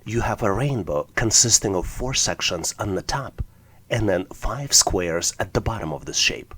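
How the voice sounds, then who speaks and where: A man's voice: on the low side, lively intonation, moderate pace, fairly bright tone, slight background noise. A man narrating an explanatory tutorial as an instructor, walking through things methodically, step by step. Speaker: test-prep instructor.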